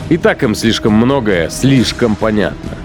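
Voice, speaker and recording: An actor playing a cold-blooded Nazi punitive commander in a radio play, musing in a sardonic, deliberate, contemptuous way. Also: male voice, lowish voice, lively intonation, music in the background, close to an everyday voice. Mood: cold contempt. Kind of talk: dramatized inner monologue in radio play.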